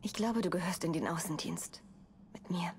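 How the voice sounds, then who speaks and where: An actress playing a self-assured young Victorian adventuress in a dramatic game cutscene. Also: female voice, lowish voice, moderate pace, a little hiss or hum, lightly acted. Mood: composed, tender. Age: young adult.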